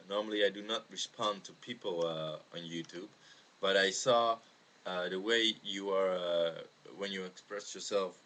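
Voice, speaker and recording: A man with a Dutch accent explains his views in a halting, earnest way, speaking unscripted into a webcam. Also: deep voice, sharp tone. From the Netherlands. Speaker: amateur video maker answering a viewer's question. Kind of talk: unscripted spoken video response on religion. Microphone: webcam microphone. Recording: noisy home webcam recording.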